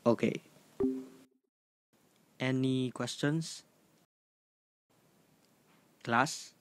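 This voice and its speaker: Amateur radio play: man as polite, instructive class teacher, Filipino accent, mid-pitched, dry recording. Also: steady tone, very slow, dry recording, soft background music. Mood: earnest, instructive. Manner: formal, instructive, checking with "okay?". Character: earnest, courteous male class teacher addressing online students.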